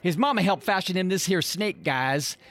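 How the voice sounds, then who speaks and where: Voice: mid-range voice, lively intonation, moderate pace, a bit bright. A performer playing an exasperated, apologetic frontier parent in a radio drama.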